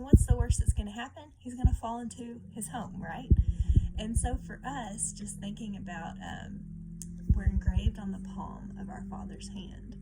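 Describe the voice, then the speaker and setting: A woman hosting a devotional read-aloud for children, talking casually and explaining gently like a teacher. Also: a little high. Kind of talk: casual devotional talk and story reading. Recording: clear background noise with clicks.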